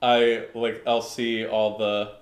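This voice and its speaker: A man's voice: on the low side, level tone, takes it slowly. A man chatting casually as a guest on a podcast. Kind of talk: casual chat. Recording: echoey room.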